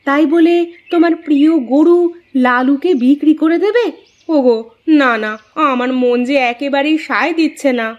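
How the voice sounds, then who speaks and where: A woman's voice: high-pitched, moderate pace, close to an everyday voice. A woman voicing a poor farmer's devoted, fussing wife in a moral-story cartoon, on a dull recording. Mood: worried, caring.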